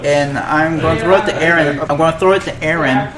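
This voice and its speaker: A man's voice: a little high, fairly even intonation, brisk. A man hosting a podcast chat, blunt and opinionated but feeling for his words. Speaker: podcast host. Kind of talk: casual chat. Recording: loud crowd noise around the voice. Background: loud crowd chatter.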